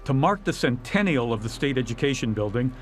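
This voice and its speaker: American man, news reporter, scripted narration, medium pitch, noticeable traffic and background noise. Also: sing-song, a little fast.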